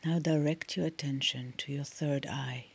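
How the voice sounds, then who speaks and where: A woman leading a guided meditation in a soft, soothing, breathy voice, leaving long pauses between short phrases. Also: low voice, fairly even intonation, lo-fi sound.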